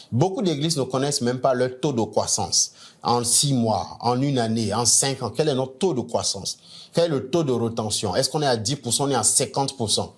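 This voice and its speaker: A middle-aged man from Nigeria, a native English speaker, coaching church leaders in a steady teaching talk. He speaks in a measured way, often restarting phrases and leaning hard on key words. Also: medium pitch. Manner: measured, with frequent restarts and stresses.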